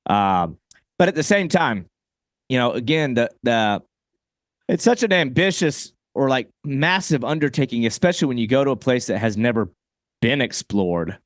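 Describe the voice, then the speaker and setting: A man's voice: medium pitch, expressive, normal speed, no echo. Man hosting a podcast, close dry sound. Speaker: podcast host. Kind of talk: conversational interview.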